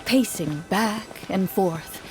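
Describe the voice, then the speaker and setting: A woman's voice: mid-range voice, slow, over music. A woman narrating a game story in the second person, reading it aloud steadily.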